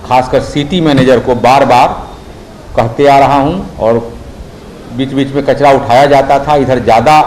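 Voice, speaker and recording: A male official with an Indian accent gives an interview statement in a medium-pitched voice. There is faint ambient noise behind him. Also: steady tone, unhurried, darkish tone, poor audio. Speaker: hospital official.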